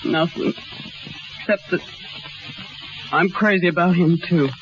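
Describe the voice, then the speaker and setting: An actress playing a young carnival snake charmer in love, slangy, emotional and rough-edged in grammar, in 1930s radio style. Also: female voice, lowish voice, a little slow, music in the background, close to an everyday voice. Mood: emotional, smitten. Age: young adult. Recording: noisy, muffled 1930s radio recording.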